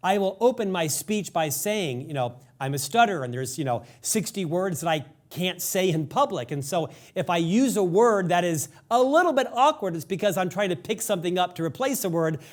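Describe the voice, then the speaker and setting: A man's voice: sits high, animated, brisk, few pauses. A man hosting a leadership podcast, speaking earnestly in conversation with a guest, with a lifelong stutter. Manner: earnest, engaged interviewer. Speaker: podcast host. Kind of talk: interview conversation.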